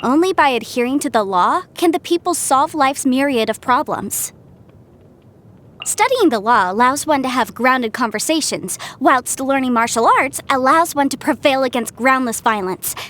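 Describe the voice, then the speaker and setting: A woman's voice: high voice, expressive, moderate pace, fairly bright tone. A woman voicing a cheerful, confident young half-adeptus legal adviser in a video game, articulate and playful in her delivery. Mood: thoughtful. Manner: articulate, self-assured, playful. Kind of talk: scripted video game character dialogue.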